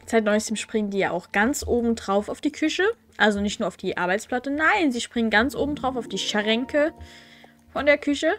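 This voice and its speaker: A woman's voice: on the high side, normal speed. A young woman with a cold, chatting casually with wry asides and fillers as she plays through a game. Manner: chatty, wry, with frequent fillers and self-corrections. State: has a cold. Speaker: let's play host. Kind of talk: casual gameplay commentary, reading quest text aloud. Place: at home.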